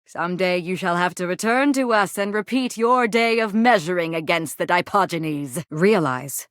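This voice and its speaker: A woman voicing a proud, fervent warrior woman in a video game, theatrical and declamatory, in archaic high-flown speech. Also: measured pace, no room sound, studio quality, lightly acted. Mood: astonished, hopeful. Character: proud, fervent female warrior, grandiose and lovestruck. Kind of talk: theatrical game character acting in archaic English.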